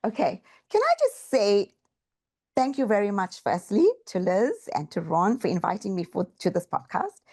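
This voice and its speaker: A woman's voice: medium pitch, very animated, normal speed, creaky, darkish tone. Woman from south africa, earnest guest telling her story. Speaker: podcast guest, bookkeeper. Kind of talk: interview answers.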